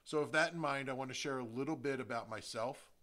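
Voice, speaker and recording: A middle-aged American man from New Jersey hosting a podcast, giving a prepared solo introduction with emphatic, deliberate phrasing. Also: mid-range voice, sharp tone. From New Jersey, United States. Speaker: podcast host, real estate agent.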